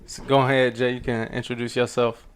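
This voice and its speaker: An easygoing young man co-hosts a podcast. His voice sits at an ordinary pitch, and he often pauses on "uh" as he steers the talk. The tone is loose and casual. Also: little pitch movement, smooth. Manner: easygoing, hesitant with many "uh"s. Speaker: podcast co-host. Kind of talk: casual chat.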